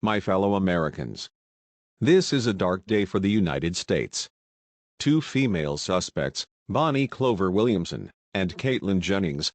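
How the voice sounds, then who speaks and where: A man playing a solemn President, giving a formal, measured national emergency address. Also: lowish voice, dry recording. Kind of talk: formal scripted crisis address.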